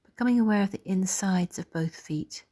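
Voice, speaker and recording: A woman leading a guided body scan meditation in a soft, gentle, soothing way, pausing between phrases. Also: medium pitch.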